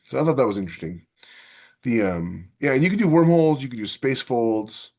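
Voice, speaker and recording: A man's voice: medium pitch, expressive, fairly quick, a little mellow. A man co-hosting a casual science fiction podcast, talking with enthusiasm and acting out imagined quotes as he explains. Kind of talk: casual discussion of sci-fi technology.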